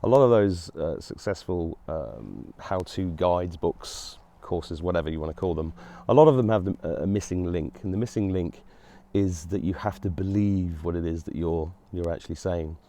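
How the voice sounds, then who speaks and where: A man's voice: low-pitched, moderate pace, warm tone. A middle-aged man hosting his own show, talking earnestly and rambling, with many restarts. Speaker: host. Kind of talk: solo monologue to camera.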